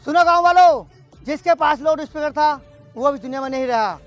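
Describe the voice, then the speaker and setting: A high voice from a male actor plays a village schoolmaster in a comedy sketch. He is bossy and exasperated, scolding like an announcer to unruly people, over faint music. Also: brisk, lo-fi sound. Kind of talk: broad comedy sketch acting. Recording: background music under dialogue.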